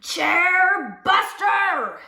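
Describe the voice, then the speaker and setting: A woman voicing a great big grouchy papa grizzly bear in a storybook, with a rough, slow growl. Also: high-pitched, sing-song, sharp tone, roomy sound, voice pushed up, full character voice. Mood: angry roaring. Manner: slow, roaring.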